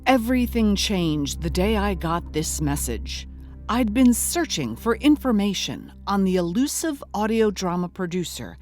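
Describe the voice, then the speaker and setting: Earnest female investigative podcaster, expressive intonation, scripted mockumentary narration, music underneath. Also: mid-range voice. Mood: solemn, searching. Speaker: podcast host.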